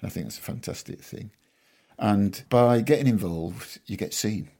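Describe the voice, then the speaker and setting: A man in his sixties from Yorkshire, a farmer being interviewed on a podcast, telling stories in a measured, modest way with dry humour. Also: fairly low pitch, gravelly, a little mellow. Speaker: guest farmer. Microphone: podcast microphone.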